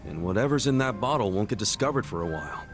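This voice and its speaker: An actor playing an easygoing, level-headed buddy in a video game cutscene, speaking casually and earnestly. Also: male voice, lowish voice, lively intonation, quick pace, music in the background, close to an everyday voice. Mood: reassuring. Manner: casual, incredulous, reassuring.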